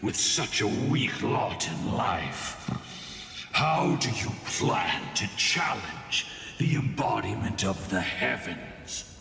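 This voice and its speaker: An actor playing a proud, grave ancient male hero spirit in a video game, speaking slowly and solemnly in a deep gravelly voice. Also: very animated, bright, forceful tone, recorded from a distance, exaggerated voice. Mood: scornful. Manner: slow, solemn, commanding. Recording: roomy reverb from afar, faint music.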